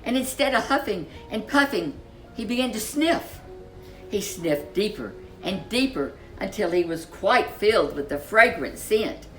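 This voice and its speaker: A woman narrates a children's picture book with a very lively, swooping pitch. She takes her time, placing dramatic emphasis on key words, over faint music. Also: unhurried, poor audio. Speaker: storyteller. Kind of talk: expressive children's storybook narration.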